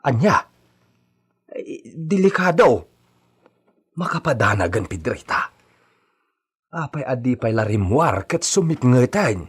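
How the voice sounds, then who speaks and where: A man's voice: medium pitch, very animated, takes it slowly, pauses often, husky, heavily acted. A man playing an anxious, grumbling household servant in an Ilocano radio drama, with a questioning, fretful way of talking.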